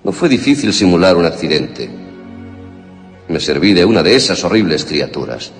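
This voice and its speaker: A ruthless, tormented scientist in a Castilian Spanish suspense radio play. The voice is low and theatrical, swinging with authority through long reverb. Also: male voice, sing-song, moderate pace. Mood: tormented, confessional. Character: ruthless, tormented scientist father justifying monstrous experiments. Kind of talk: suspense radio drama acting. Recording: long reverberation, music underneath.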